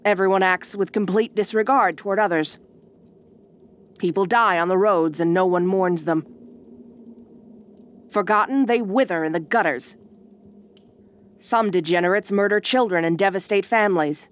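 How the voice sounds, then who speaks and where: A woman playing a haughty, bossy ice queen in an audio roleplay, speaking with imperious, deliberate phrasing. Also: medium pitch, lightly acted. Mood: cold, reflective. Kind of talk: audio roleplay addressed to the listener. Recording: roomy, echoing voice recording.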